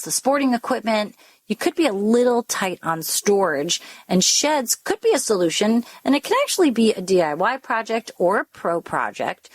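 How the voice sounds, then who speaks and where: A woman co-hosting a home improvement radio show, chatty and upbeat as she interviews guests and reads promos. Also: on the high side.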